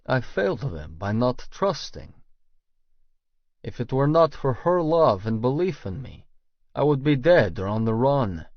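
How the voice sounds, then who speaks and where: A man's voice: mid-range voice, sing-song, unhurried, no echo, muffled recording. A man reading scripted first-person fiction as an audiobook narrator.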